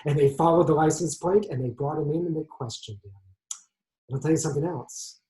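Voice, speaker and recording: A man's voice: a little high, brisk, dark tone, close to an everyday voice. A man playing a friendly police identification man, speaking as a confidant in a stage monologue. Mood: confiding. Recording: echoey room.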